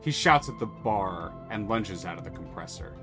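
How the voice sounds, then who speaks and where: A man's voice: deep voice, animated, moderate pace, music in the background. A man streaming a story game, reading its text aloud and chatting casually, swearing freely.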